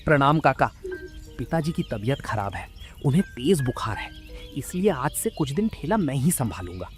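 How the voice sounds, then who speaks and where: A man's voice: mid-range voice, very animated, moderate pace, natural voice. A young man playing a dutiful, respectful son who helps at a food stall in a cartoon story. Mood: anxious. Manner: earnest, respectful. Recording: music under the voice.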